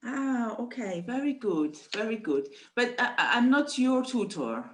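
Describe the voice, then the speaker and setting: High, lively woman hosting a webinar. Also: measured pace, echoey room. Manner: encouraging, brief affirmations. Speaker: webinar host and facilitator. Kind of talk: moderating a webinar discussion. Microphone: video call.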